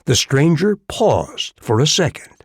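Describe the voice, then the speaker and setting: Lively male western audiobook narrator, dry studio sound. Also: a little high, a little slow, husky, no room sound. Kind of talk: scripted narration of a western novel.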